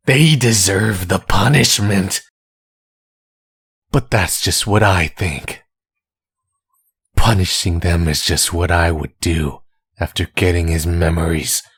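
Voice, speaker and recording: A man playing a menacing, tormented villain spirit possessing someone's body, in a husky, brooding, deliberate monologue for an ASMR audio roleplay. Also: fairly bright tone, dry recording, studio quality, heavily acted. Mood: enraged, anguished, then cold, bitter. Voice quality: husky, breathy low voice. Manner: slow, deliberate, brooding monologue with dramatic pauses.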